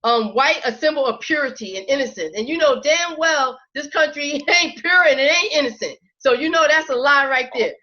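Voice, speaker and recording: A woman's voice: high-pitched, fairly quick, few pauses, sharp tone, muffled recording. A woman speaking frankly on a casual panel discussion, hesitant with many fillers.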